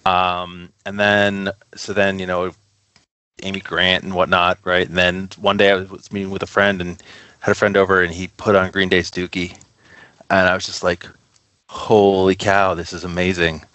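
A man from the United States chatting casually as an interview guest, halting and unassuming. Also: low voice, level tone, pushed and bright, no room sound. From Buffalo, United States. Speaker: interview guest, record label co-founder. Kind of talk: casual chat.

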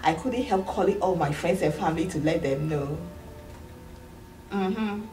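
A woman acting an excitable, apologetic immigrant woman in a comedy sketch, with a Ghanaian accent. She gushes and restarts eagerly. The sound is roomy and distant, with a light hiss. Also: lowish voice, unhurried, lightly acted. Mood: elated, gushing. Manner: gushing, hesitant restarts. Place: indoor office waiting room and counter. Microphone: far camera.

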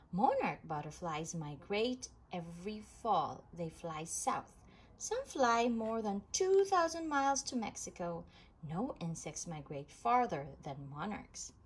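A woman reading a children's nonfiction book aloud, phrasing it deliberately in short, separate chunks. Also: medium pitch, breathy edge. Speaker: read-aloud reader of children's books.

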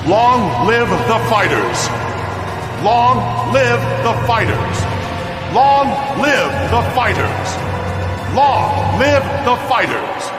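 An actor playing a stern, resolute middle-aged military leader in a science fiction game, with declamatory delivery. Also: male voice, extremely high pitch, animated, extremely slow, exaggerated voice. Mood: triumphant. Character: stern, commanding noble military leader, male. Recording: echoing hall under loud music.

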